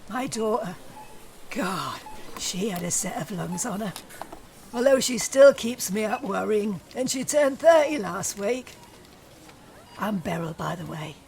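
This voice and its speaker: An actress playing a gossipy Essex cashier. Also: female voice, mid-range voice, expressive, unhurried, vocal fry, darkish tone, slight background noise. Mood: chatty. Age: 50s. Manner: gossipy, chatty. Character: chatty middle-aged shop cashier Beryl, nosy and friendly. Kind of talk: audio drama acting.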